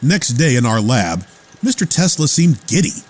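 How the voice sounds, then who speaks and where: An actor playing a loyal, watchful lab assistant who narrates earnestly in a radio theater drama. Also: male voice, medium pitch, sing-song, normal speed, darkish tone. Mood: wry. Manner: earnest, plain storytelling. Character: loyal, watchful male lab assistant recounting his boss's life. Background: music.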